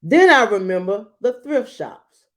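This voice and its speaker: A woman hosting a children's storybook read-aloud, reading expressively with dramatic emphasis and deliberate pauses. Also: medium pitch, very animated, a bit bright.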